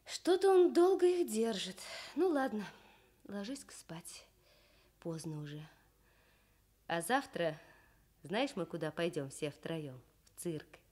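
Woman acting a caring mum in a kids radio story, homely, gently scolding. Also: mid-range voice, sing-song, slow, pauses often. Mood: gentle, probing. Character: caring, gently scolding working mother of a schoolboy.

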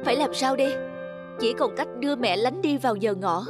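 An actor voicing a devoted, anguished young peasant son in an animated folk tale, earnest and pleading. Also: mid-range voice, brisk, over loud music, natural voice. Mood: despairing.

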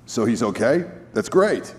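A man's voice: mid-range voice, very animated, measured pace, darkish tone, breathy edge. A man playing a confident, easygoing young gang leader in a video game, talking casually. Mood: joking. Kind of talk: video game cutscene acting.

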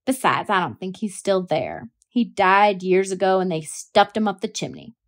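A woman voicing a bossy young boy who shouts and scolds his little sister, high and loud. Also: expressive, normal speed, close to an everyday voice. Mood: scheming. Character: excitable bossy young boy, big brother.

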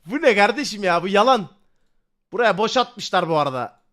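A man's voice: high-pitched, animated, brisk, a bit bright. A man with a Turkish accent giving casual, blunt reaction commentary full of slang, incredulous and exasperated. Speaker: reaction streamer.

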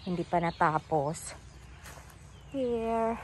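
A woman's voice: fairly low pitch, slow, a little hiss or hum. A middle-aged woman with a Filipino accent gives a casual walking tour of her front garden outdoors, talking into her phone and switching between English and Tagalog. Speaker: vlogger giving a garden tour. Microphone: phone camera held while walking.

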